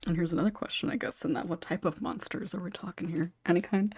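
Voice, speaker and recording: Low-pitched woman hosting a casual chat. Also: steady tone, fast, a little mellow, breathy edge, dry recording. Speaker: podcast host and script writer. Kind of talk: casual panel discussion.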